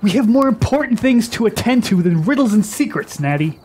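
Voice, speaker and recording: Man playing a proud, high-voiced young warrior prince. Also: expressive, fairly quick, a little mellow, breathy edge. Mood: defiant. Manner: haughty, dramatic. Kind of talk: radio drama acting.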